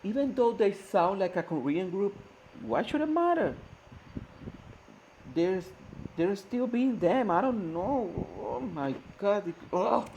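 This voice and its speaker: A man's voice: high voice, big pitch swings, takes it slowly, darkish tone, slight background noise, rough recording. A man hosting a reaction video, talking earnestly and hesitantly, searching for words with many fillers and restarts. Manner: earnest, admiring. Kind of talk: casual reaction commentary.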